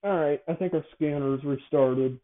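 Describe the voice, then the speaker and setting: A man hosting a casual, improvised show, talking in a halting way, repeating and correcting himself as he explains things. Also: on the high side. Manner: halting, self-correcting, repetitive phrasing. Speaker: show host. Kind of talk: casual improvised monologue, explaining a device idea. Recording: thin, phone-like narrowband audio.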